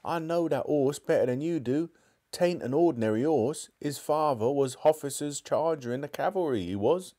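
A man's voice: on the high side, moderate pace, warm tone, voice pushed up, lightly acted. A man voicing a red-faced Cockney cab driver in a storybook reading. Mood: earnest.

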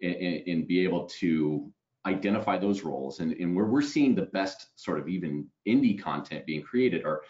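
A man's voice: sits low, expressive, moderate pace, a little mellow. A man in his forties hosting a video call interview on a headset, chatting and ending many points with "right?". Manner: conversational, tags lines with "right?". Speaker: webinar host. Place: home room. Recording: slightly dull video call audio.

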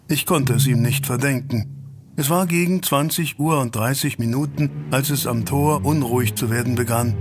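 An actor playing a steady, genteel doctor, companion to a detective, in a German audio drama, with a measured, mild manner. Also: male voice, mid-range voice, lightly acted.

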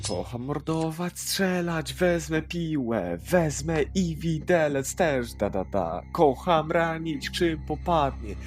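A man's voice: on the high side, animated, slow, hardly any pauses. Actor as sarcastic, foul-mouthed cartoon demon hitman. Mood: gleeful, sing-song. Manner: sarcastic, exasperated, swearing. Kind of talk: animated comedy fan dub. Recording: music behind the voice.

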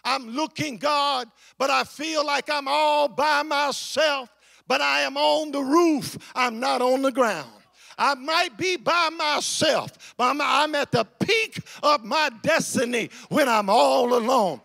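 A man preaching an impassioned sermon to a congregation in an emphatic, declamatory way, repeating phrases for effect, with a gravelly voice. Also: very high voice, slow pace, bright, forceful tone, no echo, clean studio sound.

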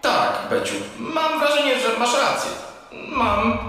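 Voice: medium pitch, slow, sharp tone, heavy reverb, big-room sound, rough recording. An actor playing a timid, fussy little furry creature, nervous and earnest, in a children's audio drama.

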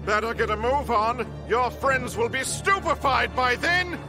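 A man's voice: very high voice, expressive, measured pace, over music, full character voice. A man playing a deranged, dim-witted villain doctor in a comedy cartoon game, bombastic and theatrical. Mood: menacing, gloating. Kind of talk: animated comedy game acting.

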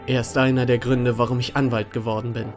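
A man playing a sincere, plain-spoken young defence lawyer in an animated courtroom drama. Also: mid-range voice, a little fast, lightly acted. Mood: earnest. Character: earnest young male defence lawyer, loyal. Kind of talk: animated courtroom fan dub acting. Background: background music.

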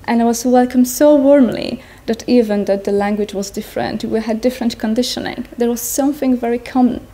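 Polish-accented woman, thirties, mellow warm tone, slightly high voice, earnest, hunts for words, speaking into stand mics in a tent. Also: steady tone, brisk. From Poland. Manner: earnest, searching for words, non-native phrasing. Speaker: speaker at a Buddhist gathering. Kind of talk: personal talk to an audience. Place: large tent in front of an audience. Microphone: stand mics in front of her.

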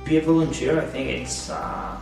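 A young man answering an interview question haltingly, searching for words, recorded indoors on a distant camera mic. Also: mid-range voice, steady tone, creaky, darkish tone. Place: small indoor room. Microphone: far camera mic. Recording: echoey room, distant mic, faint music.

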